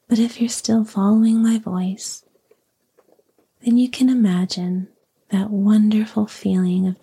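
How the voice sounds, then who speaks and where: Slow breathy whispering woman. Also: medium pitch. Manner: slow, soft and soothing. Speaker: sleep story narrator. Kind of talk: whispered bedtime story reading, ASMR.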